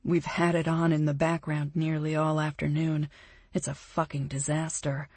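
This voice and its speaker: A woman voicing a blunt businessman who talks in a hushed, gruff way. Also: fairly low pitch, a little slow, no echo. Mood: disgusted. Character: brusque businessman, blunt and profane.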